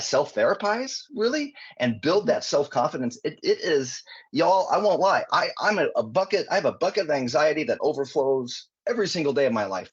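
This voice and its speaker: Male guest author, earnest and animated, husky tone, dull lo-fi sound. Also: medium pitch. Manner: earnest, animated, frequent restarts. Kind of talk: podcast interview answers. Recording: dull lo-fi audio.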